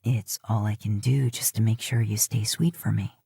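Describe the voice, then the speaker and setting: Audiobook male lead: a low, coaxing, stubborn cowboy, read by a woman. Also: steady tone, moderate pace, creaky, dark tone, husky, no echo, clean studio sound, heavily acted. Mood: teasing. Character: teasing, stubborn young cowboy husband.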